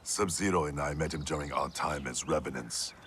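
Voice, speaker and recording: An actor playing a resolute veteran soldier who speaks firmly and matter-of-factly in a video game cutscene. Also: male voice, extremely low pitch, a little fast, a bit bright, a little hiss or hum. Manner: firm, matter-of-fact.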